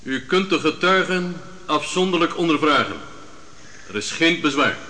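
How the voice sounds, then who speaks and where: An actor playing a stern, formal judge presiding over a biblical trial in a radio drama, authoritative and clipped. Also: male voice, fairly high pitch, animated, moderate pace, pushed and bright. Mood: formal, conceding. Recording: echoing hall with long reverb.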